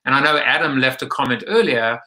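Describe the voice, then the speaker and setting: Bright-voiced South African-born host. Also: male voice, medium pitch, low-quality recording. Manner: halting, many fillers and restarts. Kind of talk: live online interview show. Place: home room with lamp and blinds. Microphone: webcam at desk.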